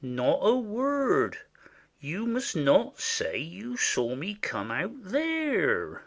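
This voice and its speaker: Stage comedy audioplay: male actor as gullible rustic go-between, very animated, slow and halting, forever confiding secrets he should keep. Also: high voice, gravelly, full character voice. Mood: anxious, secretive. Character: simple, indiscreet rustic male messenger, conspiratorial and chatty.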